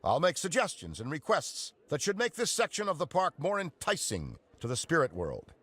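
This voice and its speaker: Casting: folksy, talky ghost-busting expert in a video game, lively intonation, close dry sound. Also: male voice, fairly high pitch, moderate pace, husky, no room sound, heavily acted. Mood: upbeat, confiding. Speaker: in-game guide character. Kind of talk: scripted game briefing.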